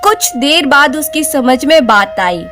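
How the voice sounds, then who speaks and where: High-pitched, animated woman storyteller. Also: a little slow, over music. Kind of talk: scripted Hindi folk-tale narration.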